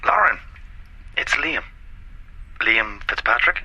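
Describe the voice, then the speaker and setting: A man's voice: medium pitch, lively intonation, slow pace, sharp tone, breathy edge, exaggerated voice. An actor playing a pushy, cutting male journalist on a phone call in a radio drama. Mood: pressing, cutting.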